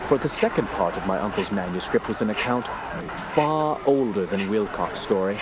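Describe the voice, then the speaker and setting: A man's voice: fairly low pitch, animated, normal speed, heavy background noise, lightly acted. A man playing a solemn nephew formally recounting his uncle's eerie investigation in an audio drama. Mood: grave, ominous. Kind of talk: scripted audio drama narration.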